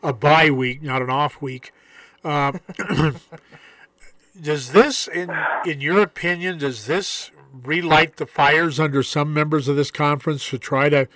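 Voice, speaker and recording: Male radio co-host, slightly high voice, dry close mic, plain direct questioning. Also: steady tone, a little slow, a bit bright, no room sound. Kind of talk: casual sports radio talk.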